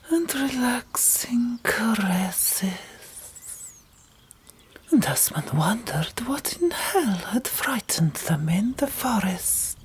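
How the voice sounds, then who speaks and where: A woman narrating an audiobook in a whispered ASMR style, with deliberate, dramatic storytelling. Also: mid-range voice, slight background noise.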